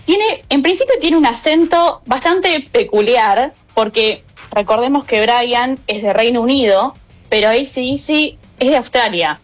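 Lively Rioplatense woman telling a story. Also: fairly high pitch, a little slow, faint music behind. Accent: Rioplatense Spanish. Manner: lively, animated storyteller who laughs often. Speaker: radio music columnist. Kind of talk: casual radio chat, storytelling.

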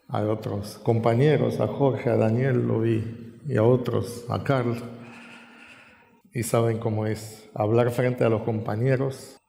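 A man from Romania, Romanian by birth, preaching a sermon in Spanish with an Argentine accent, reflective and pausing with fillers, lifting his voice when he reads scripture. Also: medium pitch, creaky, mellow. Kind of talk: sermon with Bible reading. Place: church hall. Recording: long reverb in a large hall.

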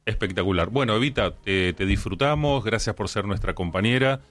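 A man's voice: fairly low pitch, brisk, a bit bright. Chatty Argentine man hosting radio. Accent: Argentine, Rioplatense. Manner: chatty, effusive. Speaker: radio host. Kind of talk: casual radio presenting.

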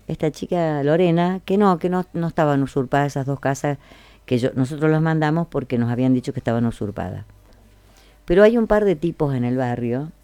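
A woman's voice: rumbling low, warm tone, no room sound. A woman with an Argentine accent hosting a casual radio chat, chatty and colloquial, repeating phrases for emphasis. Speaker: radio host.

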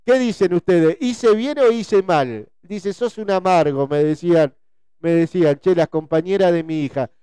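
A man's voice: sits high, normal speed, dark tone, clear, resonant tone, no room sound. A man in his forties with a Rioplatense Spanish accent, chatting casually as a host with his audience in a repetitive, conversational way. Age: 40s. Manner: repetitive, conversational, often seeking agreement with tag questions. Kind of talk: casual live chat, reading listener messages.

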